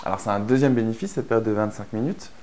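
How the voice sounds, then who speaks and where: Mellow-voiced French man teaching a course. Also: lowish voice, fast talker, slight background noise. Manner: chatty, many fillers and restarts. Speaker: online course instructor. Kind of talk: explanatory course narration.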